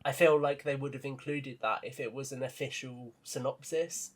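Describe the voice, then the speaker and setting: A man's voice: medium pitch, fairly even intonation, normal speed. A man speaking as a podcast guest, explaining his views thoughtfully in casual discussion.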